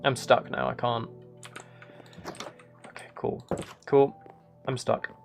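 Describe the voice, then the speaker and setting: A man with a mid-pitched, soft-spoken voice chats casually on a gaming livestream. He thinks aloud as he plays, musing and tentative, with music playing behind him. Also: flat delivery, takes it slowly, gravelly. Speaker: livestream host.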